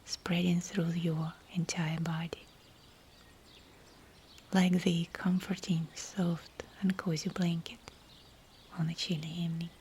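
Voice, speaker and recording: A woman guiding a scripted visualization meditation in a breathy, soothing whisper, with hushed phrases and pauses between them. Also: on the low side, little pitch movement, slight background noise. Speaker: meditation guide.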